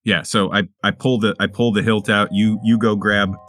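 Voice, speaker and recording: A man playing a tabletop roleplaying game, chatting casually and often repeating his words as he thinks out loud. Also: fairly low pitch, level tone, moderate pace, faint music behind. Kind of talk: casual table chat and in-character play.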